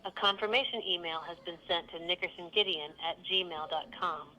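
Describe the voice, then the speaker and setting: A woman's voice: lowish voice, fairly quick, sharp tone, rough recording. A synthetic woman's voice as a polite virtual assistant, giving scripted, neatly phrased customer service. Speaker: virtual phone receptionist.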